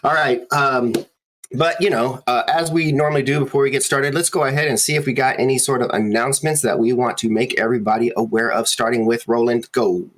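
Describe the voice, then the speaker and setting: A man hosting and running a tabletop game stream, introducing players in an easygoing way with many pauses. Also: medium pitch, fairly even intonation. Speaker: game master and host.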